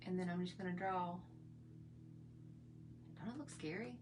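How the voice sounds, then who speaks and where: A middle-aged woman with a slightly hoarse voice, chatting in a folksy, rambling way while she shows her makeup routine. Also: lowish voice, moderate pace, music in the background. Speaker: makeup vlogger. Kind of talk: casual makeup tutorial chat.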